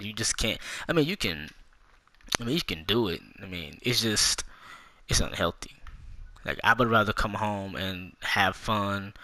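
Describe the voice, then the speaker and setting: A man's voice: on the low side, bright, forceful tone, no room sound. A man in his twenties ranting casually and with a lot of swearing, talking straight to young viewers as a gaming YouTuber. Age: 20s. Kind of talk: casual storytime rant.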